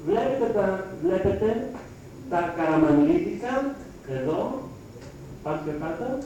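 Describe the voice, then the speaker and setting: A man giving a public lecture over slides, speaking haltingly in short phrases with pauses. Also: high voice, animated. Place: lecture hall with audience. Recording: echoey hall, distant mic, faint noise.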